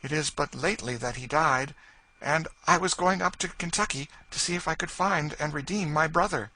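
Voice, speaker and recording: A man's voice: on the high side, normal speed, sharp tone, pitched above the performer's own voice, rough recording. A man reading an audiobook, voicing a proud, grieving freed woman who asks eager, emotional questions. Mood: tearful pride. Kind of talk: audiobook character voice.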